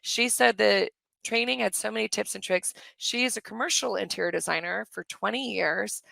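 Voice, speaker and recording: A middle-aged woman with a slightly hoarse voice, hosting a live webinar in a chatty, enthusiastic way and answering questions from the chat. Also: mid-range voice, moderate pace, sharp tone, no echo. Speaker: course host on a live webinar. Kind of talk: live webinar sales pitch and Q&A.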